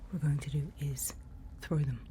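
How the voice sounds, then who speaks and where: A woman playing a calm forest night-watch guide in an ASMR roleplay, whispering soothing, unhurried instructions close to the microphone. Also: deep voice, slight background noise, natural voice. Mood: calm, hushed.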